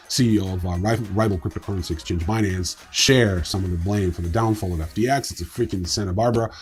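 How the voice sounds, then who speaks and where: A man's voice: low-pitched, a little mellow. A man hosting a news show, reading scripted reports in an informal way with casual asides. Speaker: host of a crypto news show.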